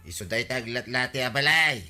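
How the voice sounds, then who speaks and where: A man's voice: medium pitch, fairly quick, pushed and bright, faint music behind. A man with an Ilocano accent playing a blunt, protesting village neighbour in a radio comedy drama. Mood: helpful, casual. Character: indignant stingy male village neighbour.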